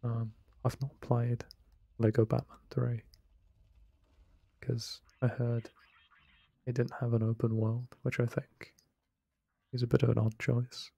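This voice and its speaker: A young man rambling softly in casual late-night commentary over a game he is playing. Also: mid-range voice, completely flat delivery, slow, vocal fry, long pauses, no room sound. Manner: soft-spoken, rambling, self-deprecating. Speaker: let's play creator. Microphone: close desk microphone, no webcam.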